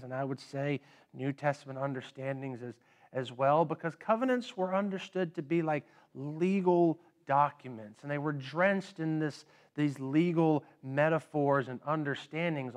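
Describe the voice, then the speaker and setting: A man in his thirties delivers a sermon in a church sanctuary at a slow, deliberate pace, his voice smooth and clear. He often repeats a word before moving on, and gestures as he stands to preach. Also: a little high. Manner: unhurried, with frequent word repeats and pauses. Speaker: pastor.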